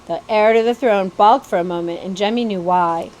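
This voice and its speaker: A woman's voice: medium pitch, fairly quick, a little mellow, muffled recording, slight background noise. A woman reading a children's novel aloud as a storybook narrator.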